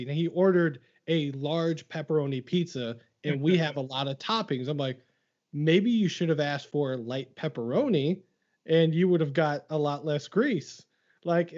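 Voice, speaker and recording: A man's voice: on the high side, a little mellow. A man from Northwest Indiana hosting a casual podcast interview, chatty and laughing easily. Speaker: podcast host. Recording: muffled remote audio.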